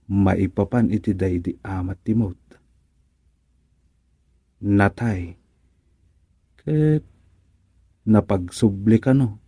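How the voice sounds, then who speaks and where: A musing village dreamer played by a male actor in an Ilocano radio comedy. He mutters and hums to himself slowly in a soft, mellow voice with an Ilocano accent, recorded close and dry. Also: lowish voice, slightly rough, no echo, full character voice.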